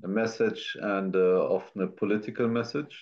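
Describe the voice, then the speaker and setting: Male artist in his fifties, German accent, fairly low voice, reflective conversation, muffled video-call sound. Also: steady tone, moderate pace, a little mellow. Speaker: artist, interview guest. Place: indoor art studio, video call. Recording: slightly dull video-call audio.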